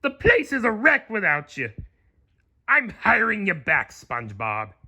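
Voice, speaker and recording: A man's voice: sits high, huge pitch swings, measured pace, bright, forceful tone, slightly rough, pitched above the performer's own voice, exaggerated voice. A man voicing a blustery, penny-pinching old crab boss with emphatic cartoon delivery in a storybook. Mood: remorseful. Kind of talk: cartoon character voice in a storybook read-aloud.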